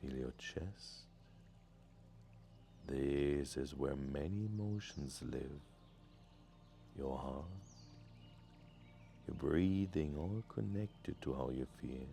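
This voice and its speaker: A man gently leading a guided meditation in a soothing, deliberate way with long pauses. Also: a bass voice, creaky, slight background noise. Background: music.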